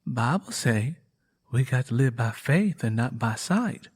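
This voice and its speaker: A man voicing a gentle, earnest, faithful young woman with a Southern American accent in a dramatic audiobook reading. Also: mid-range voice, expressive, a little slow, husky, dry recording.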